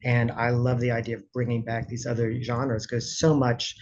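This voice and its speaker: A man's voice: medium pitch, monotone, moderate pace, darkish tone. An American man interviewing someone in a casual, conversational way, on a slightly dull recording.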